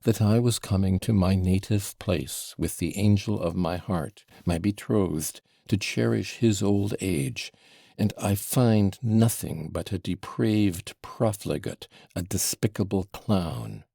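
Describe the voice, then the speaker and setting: A man's voice: low voice, takes it slowly, creaky, mellow, no echo, heavily acted. A man voicing a proud, angry young man who speaks with tense restraint. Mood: bitter. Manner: tense, breathing hard, growling.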